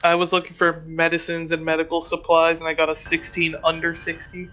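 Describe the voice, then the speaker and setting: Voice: medium pitch, completely flat delivery, brisk, pushed and bright, soft background music, low-quality recording. A tabletop roleplaying game player chatting casually at the game.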